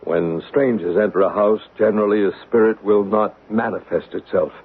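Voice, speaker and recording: A calm, authoritative male ghost investigator in a 1970s radio mystery. The voice is lowish and rough-edged, measured and sure, through a narrow, tinny broadcast. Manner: measured, explanatory, self-assured. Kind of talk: 1970s radio drama acting.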